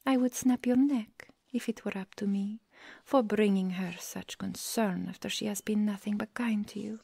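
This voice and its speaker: A woman's voice: mid-range voice, steady tone, moderate pace, creaky, dark tone, echoey room, lightly acted. A woman playing a stern female vampire, cold, formal and disdainful, in an ASMR roleplay. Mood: menacing reproach. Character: stern, scornful female vampire, loyal servant of a countess. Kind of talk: ASMR roleplay audio drama.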